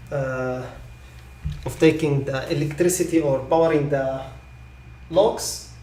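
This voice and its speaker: A man who is a non-native English speaker giving live technical training, repeating his points reassuringly and often checking with a quick okay. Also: on the high side, dark tone, a little hiss or hum. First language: not English. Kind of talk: live online product training. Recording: echoey room, distant microphone.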